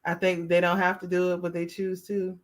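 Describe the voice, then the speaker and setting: A woman's voice: fairly low pitch, monotone, brisk. A woman hosting a podcast, moderating a group chat and laughing easily. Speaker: podcast host.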